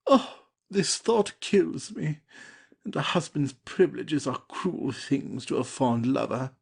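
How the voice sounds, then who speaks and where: Man playing a husky, lovelorn young suitor. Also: on the high side, expressive, slow, exaggerated voice. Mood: lovesick. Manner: plaintive, courtly. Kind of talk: classic stage comedy audio play.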